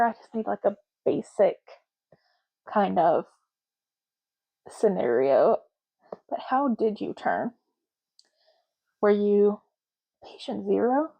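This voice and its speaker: A soft-spoken woman doing an ASMR roleplay as a clerk, talking casually and matter-of-factly in unhurried short phrases with pauses. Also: mid-range voice, vocal fry, lo-fi sound. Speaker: ASMR roleplay host, playing an intake clerk making ID cards.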